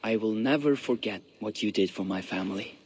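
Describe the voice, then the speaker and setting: An actor playing an earnest, grateful young male ruler with a husky voice. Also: lowish voice, brisk, a little mellow, slight background noise, natural voice.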